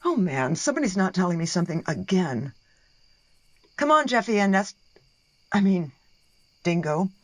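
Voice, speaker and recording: A woman's voice: lowish voice, big pitch swings, normal speed, long pauses, dry recording. A woman playing a firm, slightly exasperated mom in a radio play.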